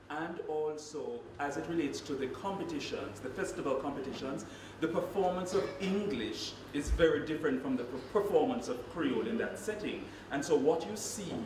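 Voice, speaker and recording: Distant, echoey man on a panel. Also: a little high. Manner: explanatory, laughing lightly. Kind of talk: panel discussion. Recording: echoey hall, distant mic, noisy.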